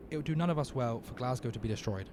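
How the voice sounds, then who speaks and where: A man playing a grave necromancer businessman in an audio drama, formal, measured and deferential. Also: medium pitch, background noise, natural voice. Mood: formal, businesslike. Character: smooth, grave necromancer businessman, politely ominous.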